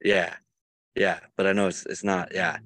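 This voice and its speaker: A man from Chicago, a musician playing a quiz game, chatting in a rambling, halting way with lots of fillers over a video call. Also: deep voice, level tone, a bit bright, no room sound. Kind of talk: casual chat.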